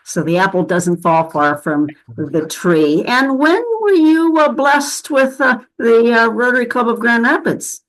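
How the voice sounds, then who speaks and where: A woman hosting a conversational interview, speaking in halting, fragmented phrases. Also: a little high, lively intonation, poor audio. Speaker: interview host.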